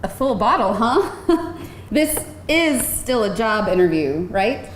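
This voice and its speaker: A woman's voice: fairly high pitch, very animated, slow. A woman playing a wry, modest bank teller and struggling actress at a live screenplay table read, speaking casually. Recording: echoey live room, faint noise.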